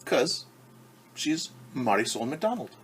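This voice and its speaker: A man reads a children's picture book as a teacher would, at a slow, patient pace. His pitch sits in the middle range. He explains and wonders aloud for young listeners. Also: big pitch swings, slightly rough. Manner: stumbles over and corrects words when reading Spanish. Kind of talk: bilingual story time with commentary.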